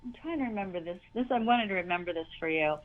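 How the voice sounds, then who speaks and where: Chatty high-voiced older woman, dull audio. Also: animated, fast. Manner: chatty, laughing, rambling with restarts. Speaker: actress and interview guest. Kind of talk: casual interview chat.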